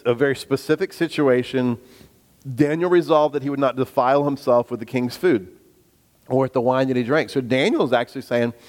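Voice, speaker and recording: Bass-voiced man preaching in a hall. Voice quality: bass voice at the moment. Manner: earnest preaching, sometimes slowing down for emphasis; acts out the voices of biblical figures when quoting them. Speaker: pastor. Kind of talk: sermon. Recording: long reverb of a hall.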